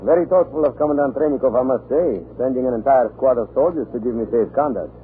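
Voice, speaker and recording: An actor playing an authoritative, impatient Tsarist government inspector in an old radio drama, brisk and commanding. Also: male voice, mid-range voice, lightly acted. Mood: wry unease. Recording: tinny, noisy old radio recording.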